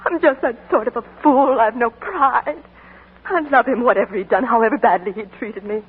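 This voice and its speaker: A woman's voice: fairly high pitch, normal speed, slightly rough, phone-quality sound. An actress playing a young woman whose sweetheart has come home from war, in emotional 1940s radio acting on an old broadcast recording. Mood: grieving. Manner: emotional, breathless and pleading. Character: young woman waiting for her soldier sweetheart, heartbroken.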